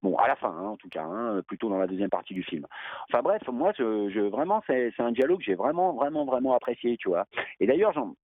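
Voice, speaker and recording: A man's voice: medium pitch, expressive, fairly quick, no echo. A man talking loosely about a film, full of hesitations and fillers. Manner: rambling, hesitant, many fillers. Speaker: guest. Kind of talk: casual film discussion. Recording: tinny dry phone line.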